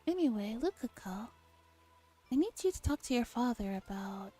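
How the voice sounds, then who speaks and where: A woman with a fairly high, very animated voice reads a visual novel aloud in a soft ASMR style. She acts out each line expressively, with light music behind her. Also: normal speed. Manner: expressive, acts out the dialogue lines. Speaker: livestreamer reading a visual novel. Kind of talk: soft ASMR read-aloud with translated dialogue. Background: game music.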